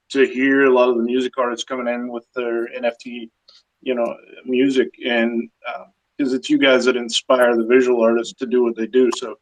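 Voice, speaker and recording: Male caller, mid-pitched, flat intonation, casual conversational delivery. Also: low-quality recording. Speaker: visual artist calling into a live show. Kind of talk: casual chat.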